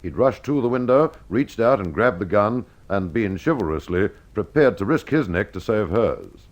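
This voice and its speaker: A man narrating a scripted old-time radio mystery as its host, with dramatic, measured storytelling. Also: fairly low pitch, expressive. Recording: dull lo-fi old radio sound.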